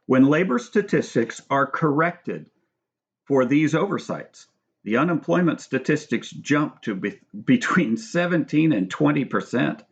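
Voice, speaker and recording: A man reading his own book aloud as scripted narration, in a measured, formal manner. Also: fairly high pitch, very animated, muffled recording. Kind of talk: scripted narration with brief spoken asides.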